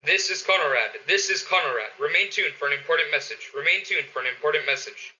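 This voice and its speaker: A man's voice: fairly high pitch, fairly quick, background noise, lightly acted. A man playing an urgent emergency radio announcer, giving official bulletins in a radio play. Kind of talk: repetitive emergency broadcast announcement. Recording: dull lo-fi audio.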